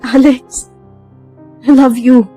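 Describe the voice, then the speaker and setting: A woman's voice: high-pitched, flat delivery, drawn-out words, warm tone, soft background music. An actress with a Filipino accent playing a guarded young woman in love in a radio drama, sounding wounded and emotional. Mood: tender.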